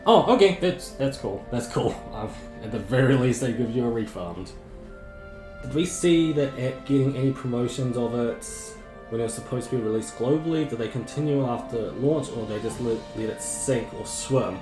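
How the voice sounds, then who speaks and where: Guy streaming a game, sore throat, kinda droning and rambly, echoey room, soft music behind. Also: mid-range voice, moderate pace. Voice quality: monotonous, droning. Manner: rambling, self-correcting, chatty. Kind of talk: casual live stream chat, reading chat.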